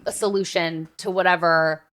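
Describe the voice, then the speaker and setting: A woman's voice: on the low side, fairly even intonation, moderate pace, fairly bright tone. A woman hosting a podcast in casual, emphatic conversation, leaning often on "like" and "literally.". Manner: emphatic, filler-heavy with frequent "like" and "literally". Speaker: podcast host.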